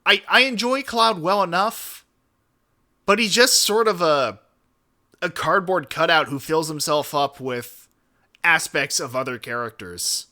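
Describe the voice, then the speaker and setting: A man streams casual, opinionated commentary in a bright, somewhat high voice. He is emphatic and digressive, leaning hard on his points as he rambles. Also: a little slow. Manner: emphatic, digressive, frequent swearing. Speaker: streamer reacting to a video.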